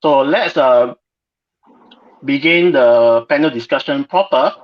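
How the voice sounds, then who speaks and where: A man's voice: medium pitch, takes it slowly. A man moderating an informal online panel discussion as host, on a muffled video call.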